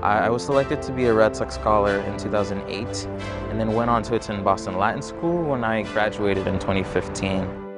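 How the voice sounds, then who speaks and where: A man's voice: on the low side, brisk. A young man in his twenties, from Haiti, speaking earnestly and reflectively in a documentary feature. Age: 20s. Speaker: scholarship student in a feature. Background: music bed.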